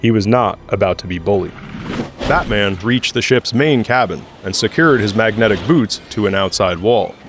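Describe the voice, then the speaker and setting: A man's voice: lowish voice, normal speed, background noise. A man reading scripted third-person narration for an audio drama.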